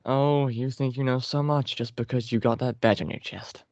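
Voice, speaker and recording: A man's voice: medium pitch, fairly even intonation, moderate pace, a little mellow, no echo, lightly acted. A man voicing a condescending, world-weary scrap-paper General in an amateur table read. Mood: scornful. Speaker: actor in a script table read. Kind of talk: amateur table read over a group call. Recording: dry, dull group-call audio.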